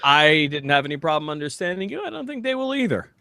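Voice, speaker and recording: A man's voice: fairly high pitch, big pitch swings, fast, creaky, bright, forceful tone. A man co-hosting a podcast in casual chat, rambling and hesitant, with dry self-deprecating humour. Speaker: podcast co-host.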